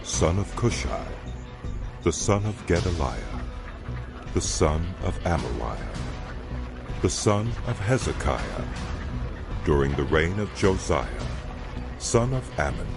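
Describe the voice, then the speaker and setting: Deep bible narrator, stately and solemn, really swooping pitch, gravelly, dramatized scripture. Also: male voice, big pitch swings, over music, heavily acted. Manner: stately, measured phrasing. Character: solemn male scripture narrator announcing a prophet's lineage.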